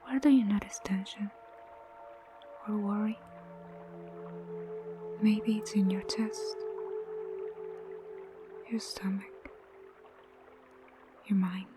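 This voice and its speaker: Female meditation guide, soft breathy half-whisper, soothing and unhurried, mid pitch, over prominent music. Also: steady tone. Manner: gentle, soothing, unhurried with long pauses.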